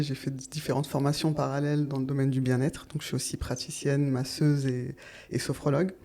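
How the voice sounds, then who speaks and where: A woman's voice: rumbling low, dark tone. A woman in her thirties from Paris, a nurse talking as a podcast guest in a reflective, hesitant way with many fillers. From Paris, France. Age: 30s. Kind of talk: casual interview chat.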